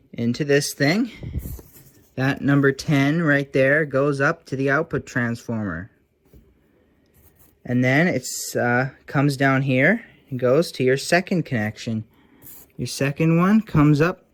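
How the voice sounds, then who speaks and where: A man giving a casual, informal narration of hands-on repair work, thinking aloud a little hesitantly as he goes. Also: medium pitch. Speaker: hobbyist restorer presenting a repair.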